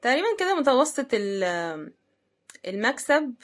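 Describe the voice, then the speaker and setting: Higher-pitched Egyptian woman, chatty. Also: sing-song, moderate pace. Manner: chatty, conversational, thinking aloud. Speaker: unboxing video host. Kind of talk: casual running commentary while unboxing.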